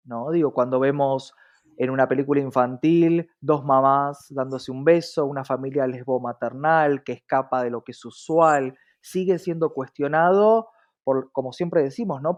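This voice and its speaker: A man's voice: mid-range voice, a little mellow. A man with a Latin American Spanish accent explaining his views as an interview guest, often adding short check-in tags, on a muffled recording. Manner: frequent rhetorical check-in tags. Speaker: diversity consultant, interview guest. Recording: dull, lo-fi sound.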